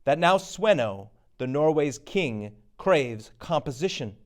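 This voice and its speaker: A man's voice: on the high side, expressive, slow pace, voice pushed up. A man playing a noble lord bringing war news in a stage tragedy, formal and declamatory. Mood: proud. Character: noble Scottish thane bringing news of victory.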